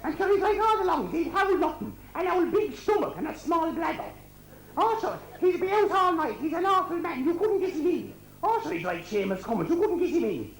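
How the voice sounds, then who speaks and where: A man's voice: very high voice, big pitch swings, brisk, exaggerated voice. A man playing a gossipy, scolding Irish countrywoman in an amateur comic pantomime, rambling and full of exclamations. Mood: gossipy, exasperated. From Ireland. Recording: echoey amateur stage recording.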